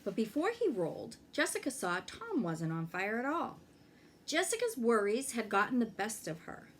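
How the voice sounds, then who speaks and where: A woman reading a children's story aloud in an expressive way, pausing to check in with young listeners. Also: fairly high pitch, slight background noise. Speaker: fire inspector reading a children's book aloud.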